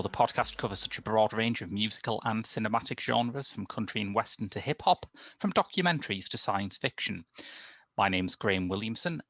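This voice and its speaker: A middle-aged man presents a film show with deadpan wit. His voice sits low, and the sound is dry and close, with hardly any room around it. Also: no room sound. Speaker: podcast host and film critic. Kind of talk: scripted introduction and panel chat. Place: small room indoors. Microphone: headset.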